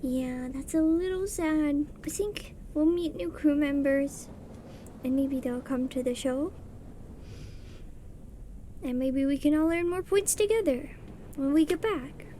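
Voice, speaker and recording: A young woman with a soft voice chatting casually and rambling while she draws on a livestream. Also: high-pitched, a little slow, pauses often, a little hiss or hum. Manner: chatty, rambling, self-deprecating, mutters settings under her breath. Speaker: streamer. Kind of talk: casual chat while drawing on stream. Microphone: streaming mic.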